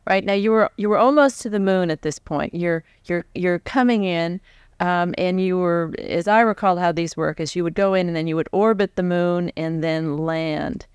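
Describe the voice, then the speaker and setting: A woman's voice: lowish voice, normal speed, a little mellow, smooth, dry recording, studio quality. An American woman in her forties interviewing a guest in a conversational style. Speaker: professional interviewer. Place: stage before a live audience. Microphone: close microphone on a stand.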